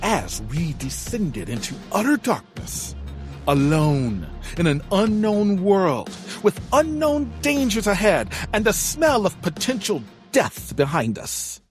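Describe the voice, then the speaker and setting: A man's voice: high voice, wildly swooping pitch, slow, exaggerated voice. A man playing a theatrical young adventurer in an audio drama, narrating a quest in florid, dramatic style. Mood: ominous. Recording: music behind the voice.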